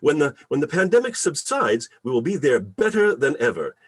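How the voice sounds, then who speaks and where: A man announcing and narrating a radio play in a theatrical, deliberate, emphatic style. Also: fairly high pitch, big pitch swings, husky. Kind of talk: old-time radio announcing and storytelling. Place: remote video call reading.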